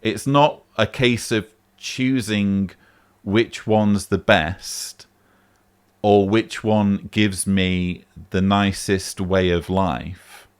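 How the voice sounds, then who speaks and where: A man's voice: lowish voice, fairly even intonation, extremely slow, clear, resonant tone. A man hosting a vlog, answering a voicemail in emphatic, argumentative casual commentary. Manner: emphatic, argumentative, rising sharply on key points.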